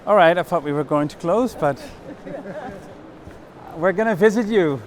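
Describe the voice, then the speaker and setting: A man's voice: high-pitched, normal speed, crowd noise. A man hosting a walking tour, chatting and asking questions in a casual on-site interview style. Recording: noisy fair hall background.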